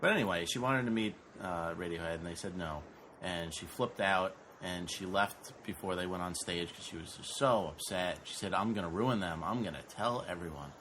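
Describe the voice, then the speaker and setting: A man's voice: low-pitched, moderate pace, a bit bright, a little hiss or hum. A man in his thirties hosting a casual podcast, dry and sarcastic, talking in a laid-back way with lots of fillers. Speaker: podcast host.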